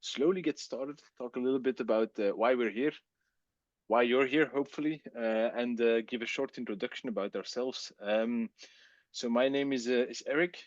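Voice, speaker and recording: A Belgian man whose first language is Dutch, with a Flemish accent, presenting a technical webcast in a relaxed, chatty way with many fillers. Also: mid-range voice, moderate pace, dry recording, dull audio.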